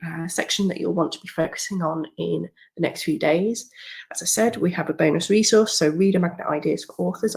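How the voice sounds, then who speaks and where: A woman coaching writers in a webinar, slightly croaky from a cold. Her low voice has a gravelly fry, and she talks in a chatty way, full of ums and restarts. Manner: chatty, with many fillers and restarts. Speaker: writing coach. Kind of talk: screen-share webinar walkthrough.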